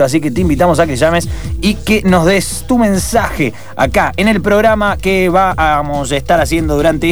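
A man's voice: fairly high pitch, lightly acted. A man with a Buenos Aires accent playing a chatty late-night radio host in improvised radio theatre. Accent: Argentine, Buenos Aires.

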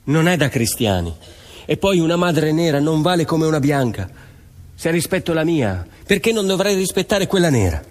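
A man's voice: a little high, big pitch swings, normal speed. An actor playing an earnest, rebellious peasant man in a theatrical monologue, emphatic and declamatory, in an echoing space. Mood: protesting. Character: earnest rebellious young peasant man urging action against injustice. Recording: echoey hall with long reverb.

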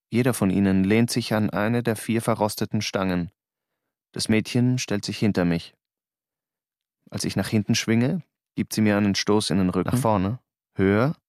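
Low gravelly man narrating a radio play. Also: no echo, studio quality. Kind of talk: first-person radio drama narration.

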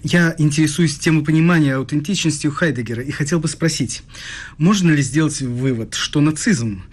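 A husky-voiced man, an earnest interviewer in a radio play. He sounds formal and probing, with a slightly awkward edge. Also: a little high, a little fast. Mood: probing, skeptical. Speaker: radio drama actor.

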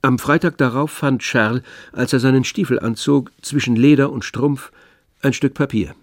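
An actor playing the detached, wry narrator of a literary radio play, with a gravelly voice. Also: male voice, medium pitch, moderate pace, dry recording, studio quality, lightly acted.

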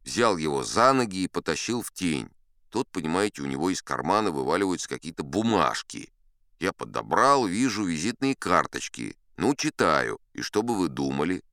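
A very low, smooth male voice as a seasoned sea captain spinning tall tales in an audio drama. He is wry and boastful, a conversational storyteller who swings widely in pitch and likes a dramatic pause. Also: very animated, a bit bright, no room sound, studio quality, exaggerated voice. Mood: wry, matter-of-fact. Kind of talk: theatrical first-person audiobook storytelling.

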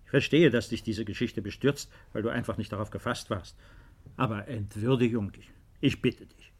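Principled estate-owning father, middle-aged. Deliberate, earnest, unbending delivery for a 1950s radio drama. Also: on the low side, fairly even intonation, slightly rough. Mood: measured, explaining. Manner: measured, earnest, deliberate phrasing.

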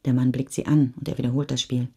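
An actress playing the sober, measured narrator of a post-war chronicle in a German radio play. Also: female voice, rumbling low, little pitch movement, gravelly, dark tone, slightly rough, full character voice. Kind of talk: literary reading in a radio play.

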